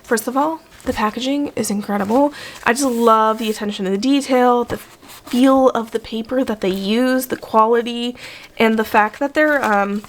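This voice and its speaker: A woman vlogger with a slightly croaky voice from being sick, chatting enthusiastically through a product unboxing. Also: fairly high pitch, moderate pace. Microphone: desk microphone.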